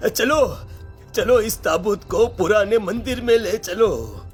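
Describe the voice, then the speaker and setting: Old village man for a cartoon male actor, resolute, halting, very slow delivery over music. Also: sits high. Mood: urgent, commanding. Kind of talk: cartoon story dialogue.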